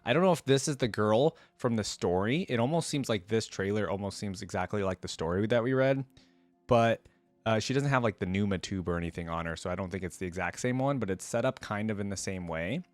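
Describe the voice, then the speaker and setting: A man's voice: fairly low pitch, expressive, brisk, no echo, soft background music. A man hosting a reaction video, giving casual, enthusiastic commentary with rambling asides.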